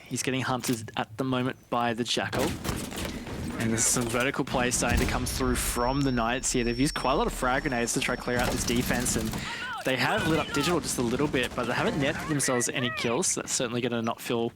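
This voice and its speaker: Man, analytical esports commentator, live match coverage, gunfire and clicking clearly heard behind. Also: medium pitch, fairly even intonation, a little fast, very noisy. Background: video game gunfire and clicks.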